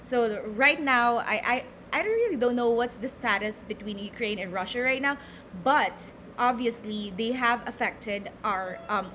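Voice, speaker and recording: A woman's voice: fairly high pitch, a bit bright, a little hiss or hum. A young Filipino woman with a Filipino accent, teaching a recorded lecture, reading from slides and explaining with many fillers and repeated words. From Philippines.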